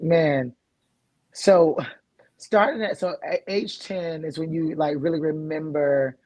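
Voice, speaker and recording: Guy hosting an interview, a bit high voice, dry close sound, reads comments. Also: fairly even intonation, takes it slowly, a little mellow, dry recording. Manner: reads viewer comments aloud, enthusiastic reactions. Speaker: interview host. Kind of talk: casual interview.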